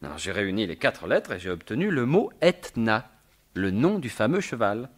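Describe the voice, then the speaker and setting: A cocky, quick-witted gentleman burglar in old French radio drama style. His husky voice moves with lively, theatrical intonation, colloquial and wryly self-mocking. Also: lowish voice. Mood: smug, amused. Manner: theatrical, talks to himself, colloquial.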